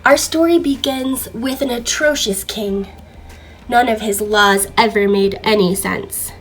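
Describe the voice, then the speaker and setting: A woman reading a children's book aloud in an expressive, deliberate, theatrical storytelling manner. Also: on the high side, faint music behind.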